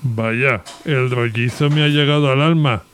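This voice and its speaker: Male actor as cynical stray dog, Spain accent, mid pitch, cheeky and colloquial, radio comedy. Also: fairly even intonation, measured pace, fairly bright tone, smooth, close to an everyday voice. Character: wry, cynical stray dog who talks, worldly freeloader. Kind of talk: radio comedy-drama acting.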